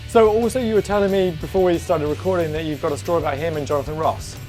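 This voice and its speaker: A man co-hosting a casual comedy review, croaky from a sore throat and teasing dryly. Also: fairly high pitch, quick pace, a little mellow, soft background music. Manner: dry, teasing. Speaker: co-host of a review show.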